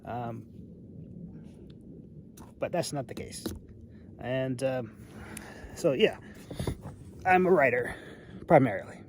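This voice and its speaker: A man in his thirties talks casually into a phone held at arm's length outdoors. He rambles through a review with a wry, hesitant manner and drifts off mid-thought. There is some light noise around his mid-pitched voice. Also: animated, slow, pauses often. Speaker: amateur knife reviewer. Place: outdoors in a snowy open river valley.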